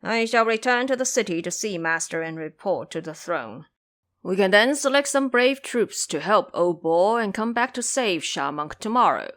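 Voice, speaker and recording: A woman's voice: medium pitch, animated, normal speed, fairly bright tone, natural voice. A woman voicing a cowardly, lazy male pig-man who blusters and complains in an audio drama. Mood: resigned. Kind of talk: audio drama character acting.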